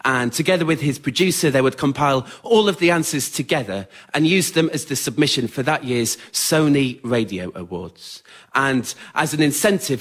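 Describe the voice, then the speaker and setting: A man's voice: mid-range voice, a bit bright. Man telling a comic story on stage. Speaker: writer-performer of a one-man spoken word show. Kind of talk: scripted autobiographical comic storytelling to a live audience. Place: on stage in a radio theatre with a laughing audience.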